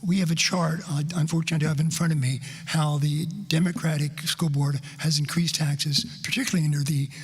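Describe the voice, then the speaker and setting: Husky man arguing his case. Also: on the high side, steady tone, quick pace, hardly any pauses. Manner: pointed, argumentative. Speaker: school board candidate. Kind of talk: town hall debate statement. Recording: reverberant hall.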